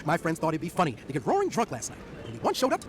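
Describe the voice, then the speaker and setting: A man's voice: huge pitch swings, very fast. A man playing a harried, exasperated street performer in a video game, impatient and dramatic. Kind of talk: video game character dialogue. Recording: game audio with clear ambient rumble.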